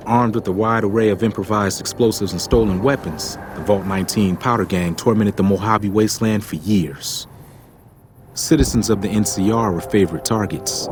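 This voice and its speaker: Man voicing grim game chronicler, lowish pitch, serious scripted delivery, noticeable background noise. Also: normal speed, lightly acted. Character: grim male chronicler of wasteland raiders. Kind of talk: scripted game ending narration.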